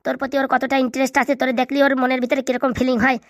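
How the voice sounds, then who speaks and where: Young cartoon dude, super fast slangy banter, high pitched-up voice, cheeky n excitable. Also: male voice, no echo, clean studio sound. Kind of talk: comedy cartoon dubbing in colloquial rural dialect.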